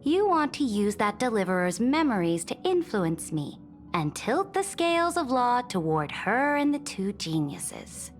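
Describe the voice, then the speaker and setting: An actress playing an imperious, ambitious emperor in a video game, proud and calculating, with a regal, commanding delivery. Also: female voice, a little high, sing-song, measured pace, in a large hall, faint music behind. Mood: knowing, measured. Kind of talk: scripted video game voice acting.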